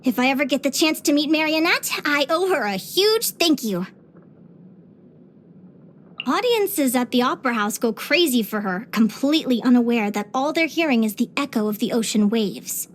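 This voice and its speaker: Game casting: young female naturalist-explorer, very high voice, cheerful, earnest, full of wonder. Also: lively intonation, normal speed. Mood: earnest, reflective. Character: cheerful, curious young woman adventurer and naturalist. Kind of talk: video game character voice lines.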